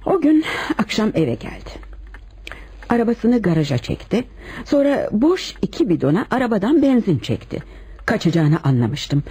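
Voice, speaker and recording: A husky woman in a radio drama, a lovelorn wife confessing to killing. Her mellow delivery is measured and dramatic, with lively swings in pitch. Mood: grave recounting. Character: confessing murderess wife, composed, lovelorn, resigned. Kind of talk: radio theater acting in Turkish drama.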